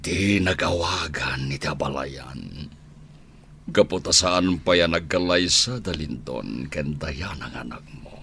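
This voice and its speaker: Very deep-voiced flirtatious gentleman. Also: unhurried, creaky, slight background noise, heavily acted. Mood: flattering. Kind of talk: Ilocano radio drama acting.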